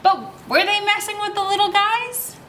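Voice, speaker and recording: A woman's voice: extremely high pitch, fairly even intonation, normal speed, bright, forceful tone. A woman hosting a children's story time, reading a picture book aloud and talking expressively to young children with leading questions. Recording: roomy echo, faint background noise.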